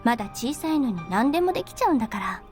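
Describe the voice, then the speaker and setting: An actress playing a gentle, wistful young woman in a visual novel, with a tender and shy manner. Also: on the high side, sing-song, racing, soft background music. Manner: soft, apologetic, tender. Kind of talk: Japanese visual novel voice acting.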